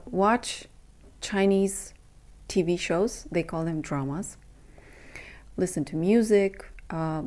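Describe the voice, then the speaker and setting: A young adult woman from Romania, a coach, speaking earnestly in a halting personal monologue with many pauses and fillers. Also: lowish voice, mellow. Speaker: intimacy and breathwork coach.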